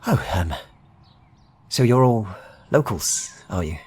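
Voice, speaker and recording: A man's voice: fairly low pitch, expressive, takes it slowly, a little mellow, breathy edge, voice pushed up, full character voice. Man playing an awkward, stammering travelling trader. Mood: awkward, curious. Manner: hesitant, stammering, eager, repeating words.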